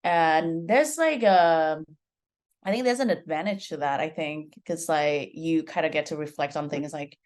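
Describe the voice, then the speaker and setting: A woman in her twenties with a Vietnamese accent and a notably low voice for a woman. She talks casually and loosely, sprinkling in "like" often as she answers interview questions. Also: unhurried, a little mellow. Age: 20s. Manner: chatty, leans heavily on "like". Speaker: film director guest.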